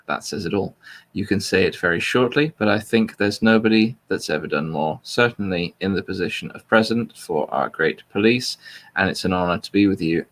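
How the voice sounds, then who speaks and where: A man's voice: lowish voice, fairly bright tone. A man hosting a casual talk, chatting and reading text aloud in a dry, informal way. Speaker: talk show host reading out a transcript. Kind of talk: casual chat and deliberately flat reading aloud.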